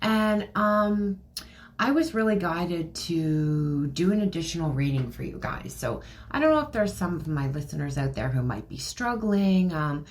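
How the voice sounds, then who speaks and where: A middle-aged woman hosting a casual spiritual podcast, reading oracle cards, chatty with many ums and laughs, a little hoarse as she is losing her voice. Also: fairly low pitch. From Canada. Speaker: podcast host and card reader.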